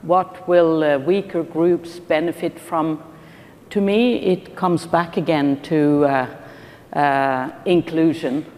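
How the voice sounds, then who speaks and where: A middle-aged Norwegian woman on a conference panel, speaking deliberately with frequent pauses. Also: steady tone, a little mellow. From Norway. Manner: deliberate, hesitant with many uh pauses. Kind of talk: panel discussion. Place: large conference hall stage. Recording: reverberant hall, faint rumble.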